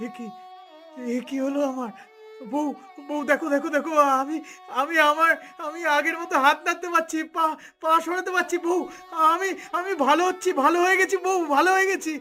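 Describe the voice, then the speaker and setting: An excitable, overwhelmed village husband played by a man in a cartoon, stammering and repeating his words. The voice is very high and bright, over faint music. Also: brisk. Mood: astonished joy. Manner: stammering, breathless repetition.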